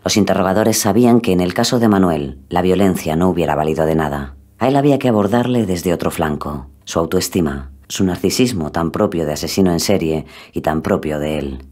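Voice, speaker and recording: Deep-voiced man, dramatic scripted narrator for true-crime storytelling. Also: fairly quick, darkish tone. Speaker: podcast narrator.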